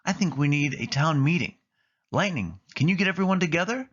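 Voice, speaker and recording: A man's voice: fairly high pitch, animated, a little fast, fairly bright tone, voice pushed up. A slightly muffled storybook recording of a friendly lady car acted out by a man. She is organized and reassuring, a natural bedtime storyteller. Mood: businesslike, upbeat.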